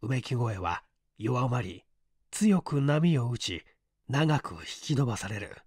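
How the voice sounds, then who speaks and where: A man's voice: medium pitch, lively intonation, darkish tone, husky, no room sound. Guy narrating a mystery novel, takes his time, theatrical, really dry close recording. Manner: deliberate, theatrical delivery with pauses. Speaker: audiobook narrator. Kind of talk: dramatic scripted narration of mystery fiction.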